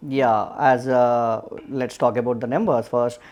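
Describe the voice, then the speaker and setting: A man's voice: medium pitch, little pitch movement, unhurried, darkish tone. A man hosting a podcast interview. He asks questions casually, with lots of fillers. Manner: casual, lots of uh and you know. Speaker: podcast host.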